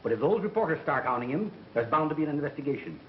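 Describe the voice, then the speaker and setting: A man's voice: fairly high pitch, big pitch swings, very fast, dull audio, a little hiss or hum. A man acting a hard-nosed, level-headed associate of a boss, blunt and urgent, in a 1930s film style on an old soundtrack. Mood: stern warning.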